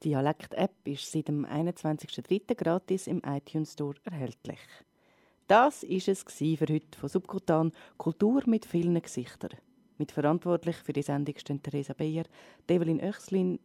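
A woman's voice: low voice, moderate pace, dark tone, dry recording, clean studio sound. A woman with a Swiss German accent reading a scripted sign-off as a radio host.

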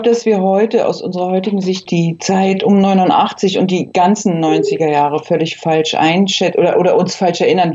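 Female interviewee, fifties, from Berlin. Warm, relaxed timbre, reflective recollection, somewhat dull sound. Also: on the low side. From Berlin, East Germany. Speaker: interview guest, eyewitness.

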